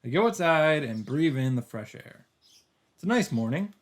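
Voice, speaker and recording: A man's voice: fairly high pitch, big pitch swings, normal speed. A man hosting a let's play, reading story narration aloud expressively with occasional casual asides. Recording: music under the voice.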